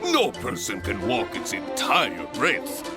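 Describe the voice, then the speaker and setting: A man's voice: fairly low pitch, wildly swooping pitch, slow, exaggerated voice. A man voicing a boastful, jovial veteran adventurer in a video game, hearty and grandiose in his delivery. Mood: grandiose, amused. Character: boastful, jovial seasoned male adventurer and guild master. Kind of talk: video game character acting. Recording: game music behind the voice.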